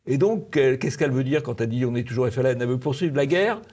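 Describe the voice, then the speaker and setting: A man giving conversational political commentary in halting, repeated phrases. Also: mid-range voice, lively intonation, darkish tone, husky. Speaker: media commentator.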